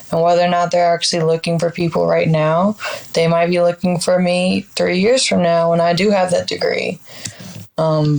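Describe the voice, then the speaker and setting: A woman's voice: fairly low pitch, creaky, low-quality recording. A woman in her twenties, a podcast guest, talking in a reflective, upbeat, casual way with many fillers. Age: 20s. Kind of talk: casual interview chat.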